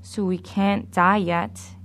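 Slow, gravelly woman preaching a sermon. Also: medium pitch, little pitch movement, a bit bright. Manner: slow, measured and deliberate.

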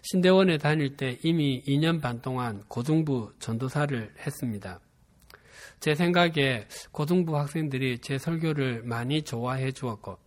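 A man in his fifties from Busan with a Gyeongsang dialect accent, preaching a sermon and telling a personal story in a measured, earnest way. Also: medium pitch. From Busan, South Korea. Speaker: pastor.

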